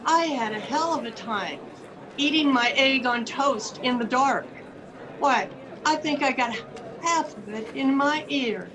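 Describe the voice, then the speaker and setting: A woman's voice: sits high, lively intonation, measured pace, low-quality recording. A woman playing a cheeky, breezy cinema working girl in an old-time radio play. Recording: crowd noise behind.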